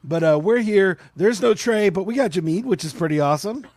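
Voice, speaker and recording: A man's voice: high voice, expressive, fairly quick, darkish tone, no room sound. A man with a scratchy voice hosting a casual live-stream chat, talking with lots of fillers and laughs. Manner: chatty, laughs often, many fillers. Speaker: podcast host.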